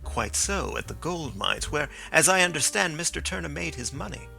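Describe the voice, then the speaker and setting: A man voicing a keen, brisk male detective in a crisp, measured way. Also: fairly high pitch, expressive, pushed and bright, faint music behind, pitched above the performer's own voice, heavily acted.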